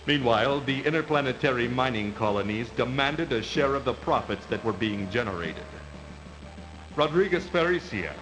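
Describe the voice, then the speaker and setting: A man playing the solemn narrator of a space saga game intro, measured and grave in his scripted delivery. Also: mid-range voice, natural voice, poor audio. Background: music.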